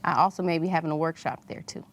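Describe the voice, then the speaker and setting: A woman talks as an interview guest. Her pitch is a bit low. She speaks conversationally, as a poet describing her own work. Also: fast talker, vocal fry.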